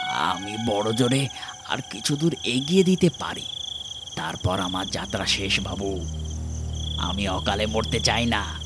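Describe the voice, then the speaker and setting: An old village cart man in a horror audio drama, pleading and superstitious. He speaks slowly and haltingly, repeating himself in fear, under loud music. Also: lowish voice. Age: older. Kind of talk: audio horror story acting.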